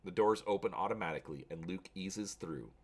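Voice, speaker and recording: A man's voice: low-pitched, brisk, breathy edge. A man hosting a channel, talking casually to viewers and reading an adventure story aloud with drama.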